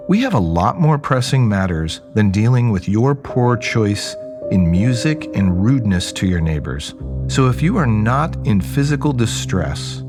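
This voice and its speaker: Man voicing a stern, lecturing female police officer. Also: lowish voice. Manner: firm, curt, lecturing. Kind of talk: audio drama dialogue.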